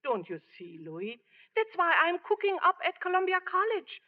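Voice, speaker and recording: High-pitched, scolding immigrant mother. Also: wildly swooping pitch, normal speed, exaggerated voice. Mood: pleading, insistent. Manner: scolding, emphatic, pleading. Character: stern immigrant mother, hard-working cook, ambitious for her son. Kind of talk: 1940s radio drama acting. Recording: dull old radio broadcast recording.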